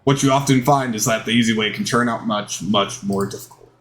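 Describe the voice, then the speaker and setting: A man voicing a smooth, wise older male chef mentor from a game, speaking in a gentle, measured way. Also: fairly low pitch, lightly acted. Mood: calm, wise.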